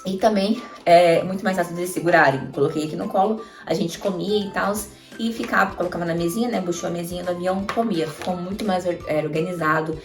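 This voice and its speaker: A woman's voice: fairly low pitch, fast, few pauses. A woman in her thirties with a Brazilian accent, a mother showing travel items in a chatty vlog and talking straight to viewers. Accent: Brazilian Portuguese. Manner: chatty, addresses viewers directly with frequent tag questions. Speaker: mother vlogger. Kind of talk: casual show-and-tell tips. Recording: echoey room, mic at a distance, faint music.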